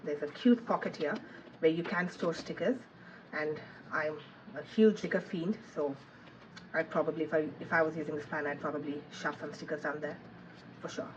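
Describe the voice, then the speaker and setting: A woman's voice: low voice, steady tone, normal speed, highs cut off, slight background noise. Chatty woman with a cold. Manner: chatty, thinking aloud, frequent ums and likes. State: has a cold, sniffling. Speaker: planner reviewer. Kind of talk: casual unboxing review.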